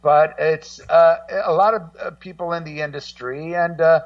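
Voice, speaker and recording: A man in casual radio conversation, rambling and halting as he repeats words and acts out what other people say. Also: a little high, fairly even intonation, no room sound. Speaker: guest co-host and music documentary maker. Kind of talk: casual radio talk, telling anecdotes. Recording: muffled, slightly distant voice feed.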